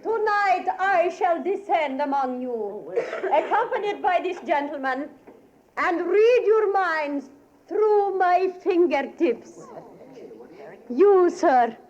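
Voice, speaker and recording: A woman's voice: extremely high pitch, expressive, takes it slowly, a little mellow, heavily acted. An actress playing a solemn blind woman mentalist with formal, theatrical 1940s radio delivery. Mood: grand, theatrical. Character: blind female stage mentalist, solemn, soft, uneasy. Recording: muffled, noisy old radio broadcast.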